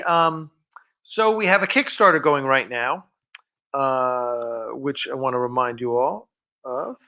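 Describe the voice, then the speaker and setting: Male livestream host, forceful bright tone, casual, halting and rambling delivery. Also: on the high side. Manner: rambling, halting, self-interrupting. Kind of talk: casual, unscripted livestream chat.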